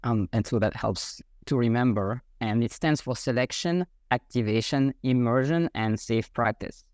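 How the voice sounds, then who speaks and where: A man with a French accent, speaking English as a second language, talks in a mid-range voice. He explains things in measured, methodical steps. The sound is dry and close-miked. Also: medium pitch, a little mellow, no echo. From France. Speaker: guest, French learning coach. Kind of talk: explanatory interview answers.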